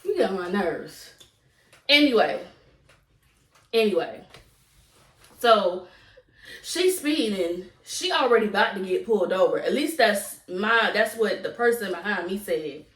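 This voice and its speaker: A woman's voice: mid-range voice, very animated, a little slow, pauses often, fairly bright tone. Young adult woman, Southern US accent, casual storytime vlogger, echoey room, away from the mic. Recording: roomy echo, distant from mic.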